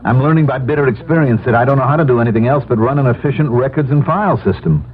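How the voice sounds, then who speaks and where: An actor playing a desperate middle-aged husband, brooding and confessional, in 1970s radio drama style on a muffled recording. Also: male voice, medium pitch, racing, slight background noise, lightly acted. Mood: uneasy, rueful. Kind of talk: 1970s radio drama acting and first-person narration.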